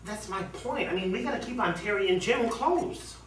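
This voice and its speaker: An animated, sardonic young husband played by a man in a stage comedy, swinging widely in pitch and easily flustered. He is heard from far off in an echoey space. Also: moderate pace, a little hiss or hum. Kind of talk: amateur stage comedy acting. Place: small theatre, distant microphone.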